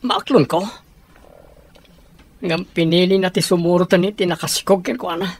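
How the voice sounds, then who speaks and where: A man's voice: high voice, normal speed. An actor playing a heartbroken middle-aged father in an Ilocano radio drama, speaking emotionally and pleadingly with an Ilocano accent. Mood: bitter, hurt.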